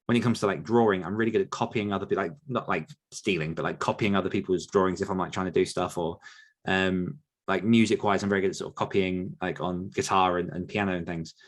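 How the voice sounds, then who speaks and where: A man's voice: low voice, fairly even intonation, quick pace. A man with an English accent answering listener questions in a casual, rambling podcast chat, with frequent fillers and restarts.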